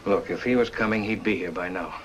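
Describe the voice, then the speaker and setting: A resigned working man from a 1950s crime film, acted by a man. His voice is low and blunt. The old film track is clearly noisy. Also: fairly quick, lightly acted. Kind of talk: 1950s film noir acting.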